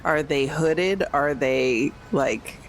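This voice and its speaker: A woman's voice: low-pitched, takes it slowly, slight background noise. A woman playing a tabletop game, casually describing what her character does and says.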